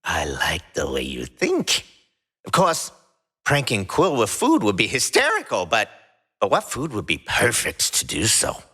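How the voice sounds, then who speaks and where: A man's voice: fairly low pitch, huge pitch swings, unhurried, pushed and bright, husky, exaggerated voice. A man playing an irritable, scheming, mischievous raccoon in an audio drama, grumbling and emphatic.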